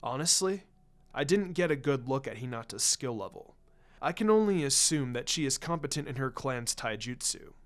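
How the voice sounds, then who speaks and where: A laid-back male ninja teacher talks candidly and plainly, voiced by a man reading a story. The tone is matter-of-fact and pitched down, in storybook character style. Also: animated, moderate pace, close to an everyday voice. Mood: candid, approving.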